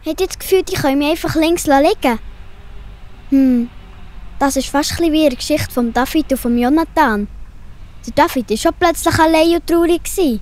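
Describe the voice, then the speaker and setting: A woman's voice: sits high, brisk, darkish tone, close to an everyday voice. A woman with a Swiss accent voicing a sensitive but plucky little girl in a children's audio play. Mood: hurt.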